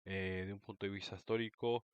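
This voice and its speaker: Low-voiced man, close and dry. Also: steady tone, clear, resonant tone, dry recording, highs cut off. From United States (Florida), Mexican roots. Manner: unhurried, many fillers like "eh" and "este". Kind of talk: casual talk about a history book.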